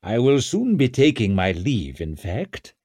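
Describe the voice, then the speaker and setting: An old, self-important wizard adviser from a fantasy audiobook, played by a man. He speaks slowly and formally, with a patronising hardness beneath the courtesy. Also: medium pitch, huge pitch swings, a little mellow, husky, no room sound, full character voice. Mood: formal, cool. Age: older.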